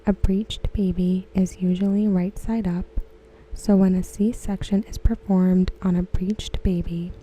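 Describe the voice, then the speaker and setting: Very mellow, softly spoken ASMR woman. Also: lowish voice, level tone, poor audio. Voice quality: soft, breathy, near-whispered. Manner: gentle, hushed, measured delivery. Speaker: ASMR host. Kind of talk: softly spoken ASMR read-aloud of forum comments.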